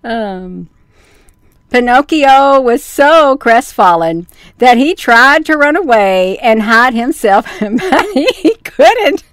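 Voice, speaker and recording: A woman's voice: on the high side, big pitch swings, slow, fairly bright tone, dry recording. A middle-aged woman reading a children's story aloud at a close desk mic, folksy and easily amused, with casual asides. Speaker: storyteller, host. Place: small room indoors. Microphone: large desk microphone up close.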